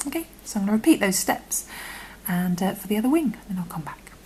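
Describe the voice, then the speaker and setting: A woman with a croaky voice, patiently talking through a crochet tutorial and often dropping to a half-whisper as she works. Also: mid-range voice, big pitch swings, fast, a little mellow, a little hiss or hum, low-quality recording. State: croaky from illness. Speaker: crochet instructor. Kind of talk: step-by-step tutorial.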